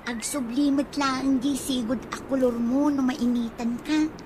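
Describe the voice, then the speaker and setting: A perky young Ilocano niece played by a woman with a very high voice, cheeky and coaxing in a radio comedy drama. Clear background noise with birdsong sits behind her. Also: steady tone, a little slow. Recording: noisy audio with birds.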